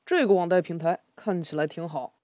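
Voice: mid-range voice, brisk, dark tone, a little hiss or hum, natural voice. A performer playing a worried father working in the city, grumbling and colloquial, in an amateur radio drama. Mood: hopeful, musing. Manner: sighing, complaining, colloquial. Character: worried migrant father seeking a loan in the city.

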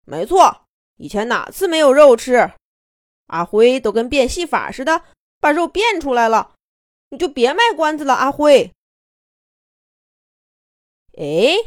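A pack of quarrelsome storybook wolves snaps and argues at full volume, as a woman puts them on. The voice sits fairly high and swings widely in pitch. The recording is close and dry. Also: no room sound. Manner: loud, raised arguing voice.

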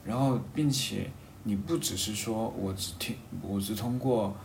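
A man in a casual, reflective conversation, halting and rephrasing his thoughts as he goes. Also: lowish voice, vocal fry, darkish tone. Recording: roomy echo, faint background noise.

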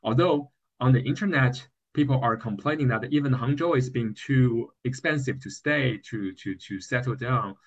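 A man from China with a Chinese accent in a casual podcast discussion, speaking haltingly and repeating words as he searches for phrasing. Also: mid-range voice, husky, highs cut off. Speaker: podcast co-host.

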